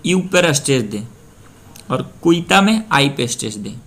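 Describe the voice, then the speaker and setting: A young man from Bihar, India, teaching a pronunciation lesson and repeating each word slowly for practice. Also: medium pitch, big pitch swings, fairly bright tone. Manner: slow, repeats words drill-style. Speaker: language teacher.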